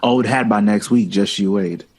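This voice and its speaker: An actor playing a witty, urbane jazz composer in an audio drama, proud and wistful with an arch, playful manner. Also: male voice, fairly low pitch, moderate pace, darkish tone, slightly rough.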